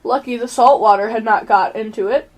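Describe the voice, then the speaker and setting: A woman's voice: a little high, fairly quick, far from the mic, low-quality recording. A female narrator reading scripted commentary in an amateur radio play.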